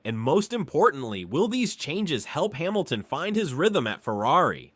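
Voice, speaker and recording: Higher-pitched man, animated scripted sports narration. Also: no room sound. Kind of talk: scripted narration, Formula One news commentary.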